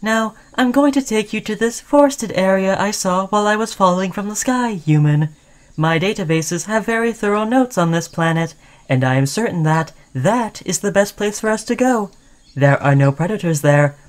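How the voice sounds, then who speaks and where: Female robot companion, acted by a woman, friendly and devoted, chatty ASMR roleplay, mid-pitched. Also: lively intonation, moderate pace, lightly acted. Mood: eager, protective. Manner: chatty, soothing, addressing listener as human friend. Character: friendly, caring, obsessively devoted sentient robot crewmate. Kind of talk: scripted ASMR roleplay addressed to the listener.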